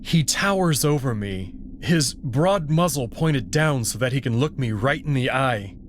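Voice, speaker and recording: A man's voice: mid-range voice, animated, a little slow. A man narrating a story in the first person in a dramatic style, with faint noise in the recording.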